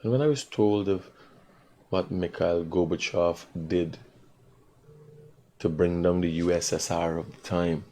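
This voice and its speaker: A Guyanese man in his forties hosting a call-in talk show, slow and reflective alone, loud and rapid when arguing. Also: low-pitched, steady tone. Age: 40s. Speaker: radio call-in host.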